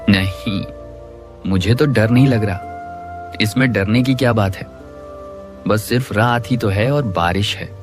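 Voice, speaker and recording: A man voicing a calm, fearless stranger with a reassuring manner in a cartoon. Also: lowish voice, measured pace, pauses often, music in the background, lightly acted. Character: calm, fearless stranger at a night bus stop. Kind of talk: Hindi cartoon dialogue.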